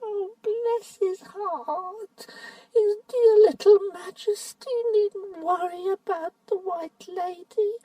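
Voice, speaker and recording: A storybook reader voicing a shrill, whining old hag who wheedles and flatters. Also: takes it slowly, dry recording, recorded from a distance, rough recording. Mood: wheedling.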